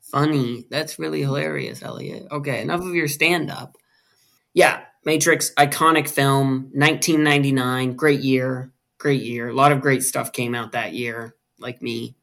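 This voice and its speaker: A man's voice: mid-range voice, a little slow, creaky, a bit bright. A man in his twenties hosting a podcast, chatting and teasing his co-host about a film. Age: 20s. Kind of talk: casual movie review chat.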